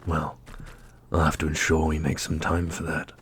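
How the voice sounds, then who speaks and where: A man playing a wry, teasing immortal brother in a fantasy audio roleplay, speaking deliberately. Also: extremely low pitch, completely flat delivery, fairly bright tone, slight background noise. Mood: smug teasing.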